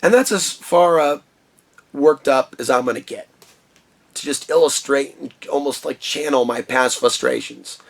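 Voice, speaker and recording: A man with a booming voice talking through a personal vlog monologue in an impassioned, ranting way from his shed studio. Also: a little slow, vocal fry. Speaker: vlogger.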